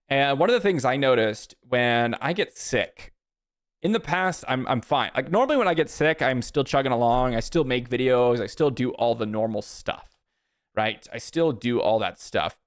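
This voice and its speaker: A man in his thirties streaming a card game, casually chatting with viewers and thinking aloud about his plays. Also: medium pitch. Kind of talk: casual live-stream chat while playing.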